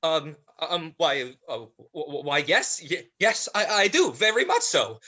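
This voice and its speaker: A man's voice: on the high side, sing-song, unhurried, bright, forceful tone, full character voice. A man playing a sly, cowardly weasel villain in a play reading, stammering and mock-formal. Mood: nervous, stammering. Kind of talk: dramatized play script reading.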